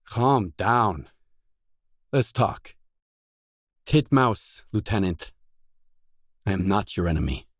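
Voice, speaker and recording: A gruff video game stalker with a low voice and an unhurried pace. He is guarded and self-assured, keeping his lines terse and dry. The sound is close and dry, with no room around it. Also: lively intonation, dry recording. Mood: calm, firm. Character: gruff, guarded male stalker, lone survivor for hire.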